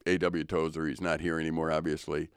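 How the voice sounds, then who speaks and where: An older man with a very low, deep voice talks through ideas of faith in a thoughtful, unhurried way, a little preachy. He co-hosts a relaxed studio podcast. The sound is close and dry, with no room echo. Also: smooth. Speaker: co-host. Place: radio studio.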